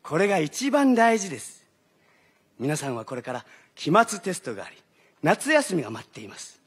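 An actor playing an earnest schoolteacher who gives a formal, halting, deadpan speech on stage. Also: male voice, on the high side, breathy edge, full character voice. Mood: earnest, rambling. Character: earnest, rambling schoolteacher addressing students. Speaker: teacher giving a pre-show speech. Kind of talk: comic stage-play monologue. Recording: stage recording.

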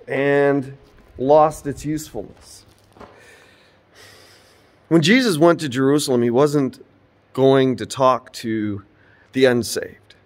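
A man in his forties giving an earnest, informal sermon, filmed on a phone held at arm's length inside an empty old church. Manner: earnest, preaching, rises and falls in pitch. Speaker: preacher.

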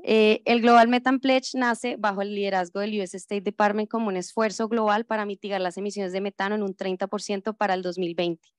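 A woman's voice: medium pitch, fairly even intonation, a little fast, dry recording, clean studio sound. A woman moderating a conference panel, introducing speakers in a friendly, organized way with a few fillers.

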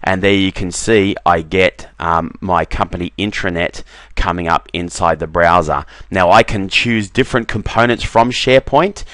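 A man talking through a software demo over a screen recording, relaxed, with frequent "uh" fillers and doubled words. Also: low voice, moderate pace, dry recording. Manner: relaxed, repeats "very, very" and fills with "uh". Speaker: software demonstrator.

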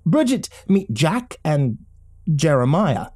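A man voicing a suave, self-assured married gentleman in an audio story, with smooth and formal speech. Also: a little high, big pitch swings, slow pace, pitched above the performer's own voice, heavily acted. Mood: genial, assured. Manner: smooth, formal, politician-like confidence. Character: confident, suave, well-built married man, genteel research consultant.

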